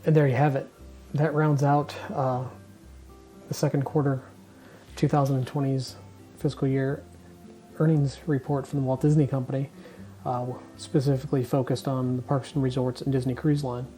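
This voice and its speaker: A man's voice: mid-range voice, level tone, moderate pace, mellow, soft background music. A man hosting a podcast, giving informal commentary. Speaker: podcast host.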